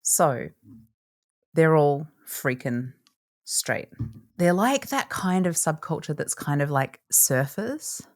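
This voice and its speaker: A woman's voice: low-pitched, animated, takes it slowly. A woman, co-hosting a podcast in casual chat, bubbly and joking, often laughing. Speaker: podcast co-host.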